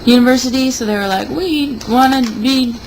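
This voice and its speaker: A woman's voice: sits high, normal speed. A young woman in a casual, rambling monologue, sounding tipsy and sardonic. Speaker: vlogger. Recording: noticeable background noise.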